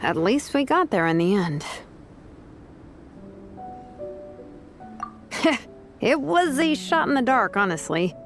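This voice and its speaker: A woman's voice: fairly high pitch, sing-song, normal speed. A woman voicing a blunt, laid-back young woman warrior in an anime game, with a casual manner. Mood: relieved, wry. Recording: music behind.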